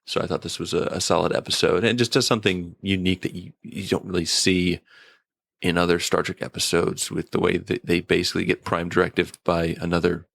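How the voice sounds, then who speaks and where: A man with a deep voice and heavy vocal fry gives a casual review as a podcast guest. His manner is measured and understated, and the recording is dry. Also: little pitch movement, a bit bright, dry recording. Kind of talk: casual episode review discussion.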